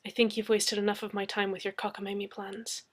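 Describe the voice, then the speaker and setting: A woman's voice: medium pitch, little pitch movement, a little fast, lightly acted. A woman voicing a formal, dismissive male London police detective in an audiobook. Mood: dismissive, exasperated. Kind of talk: dramatic audiobook character reading.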